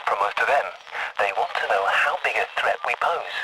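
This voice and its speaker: An actor playing a gruff, sceptical special forces soldier with a British accent in a video game, terse and dry. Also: male voice, normal speed, natural voice. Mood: pondering. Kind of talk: video game cutscene acting. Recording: very noisy cutscene, vehicle and aircraft rumble.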